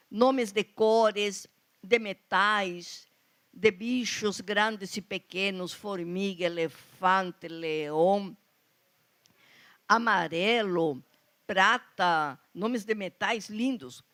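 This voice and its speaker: A woman with a strong foreign accent speaking Portuguese, telling anecdotes on stage at a literary panel and acting out the dialogue she quotes. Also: mid-range voice. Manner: anecdotal, animated quoting of dialogue. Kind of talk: storytelling at a literary talk. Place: festival stage before an audience.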